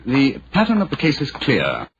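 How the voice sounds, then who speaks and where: A man playing a brisk, assured British gentleman detective in a 1940s radio drama, speaking in a precise, formal, theatrical way. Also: medium pitch, wildly swooping pitch. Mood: confident, explaining. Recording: dull, noisy 1940s radio recording.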